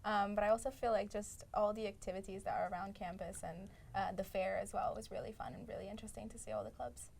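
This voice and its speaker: A woman's voice: medium pitch, steady tone, quick pace, creaky, few pauses, a little mellow, slight background noise. A young woman from Italy, chatting as a student guest with frequent "um"s. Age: young adult. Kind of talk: casual interview answers.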